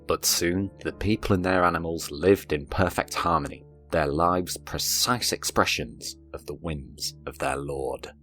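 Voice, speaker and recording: Very deep-voiced male storyteller. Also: a little slow, few pauses, fairly bright tone. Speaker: storyteller, narrator. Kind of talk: scripted fairy-tale narration over music. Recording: narration over background music.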